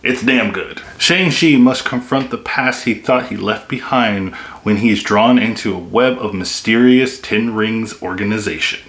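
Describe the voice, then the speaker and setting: A man's voice: lowish voice, measured pace, few pauses, a bit bright. Chatty young man reviewing films. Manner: chatty and enthusiastic, with many "like" and "you know" fillers. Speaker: movie reviewer. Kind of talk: casual spoken film review. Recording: echoey room.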